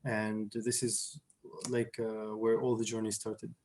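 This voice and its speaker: Young man from Syria, halting speech. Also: lowish voice, monotone, a little mellow. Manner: hesitant, many fillers and restarts. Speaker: interview guest, surgery resident. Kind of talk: casual conversational interview.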